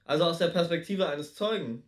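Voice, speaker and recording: A man with a fairly high voice acts as interviewer. He puts his prompts informally, in plain conversational phrasing. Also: a little fast.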